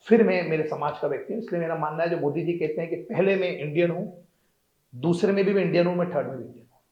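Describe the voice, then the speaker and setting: Emphatic high male voice, political guest, echoey dull recording. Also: darkish tone. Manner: emphatic, declamatory, repeats phrases. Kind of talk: interview answers.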